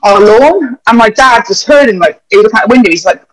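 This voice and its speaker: A young man with a notably high voice tells loose, rambling stories, dropping swear words and filler words as he goes. He is a vet chatting casually on a podcast. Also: lively intonation, quick pace, low-quality recording.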